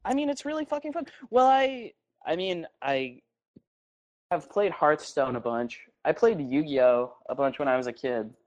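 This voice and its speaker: A man's voice: fairly high pitch, expressive, moderate pace, darkish tone, highs cut off. A man from New Hampshire co-hosting a podcast, rambling and swearing in casual banter. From New Hampshire, USA. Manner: rambling, swearing, laughs at own puns. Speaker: podcast co-host. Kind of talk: casual chat.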